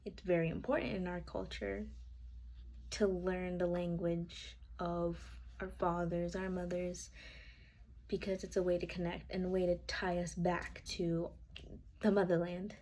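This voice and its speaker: A woman's voice: fairly low pitch, flat delivery, measured pace, warm tone. Woman presenting casually from notes. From United States. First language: Spanish. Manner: casual, with fillers and self-corrections, checking notes. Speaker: student. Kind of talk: informal class presentation.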